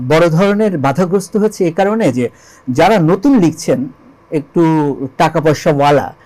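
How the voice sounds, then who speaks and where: A man's voice: high-pitched, a little mellow, poor audio. A man with a Bangladeshi accent speaking as an interview guest, telling his experiences earnestly with emphatic repetition, rhetorical questions and English loanwords. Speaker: interview guest, songwriter and composer.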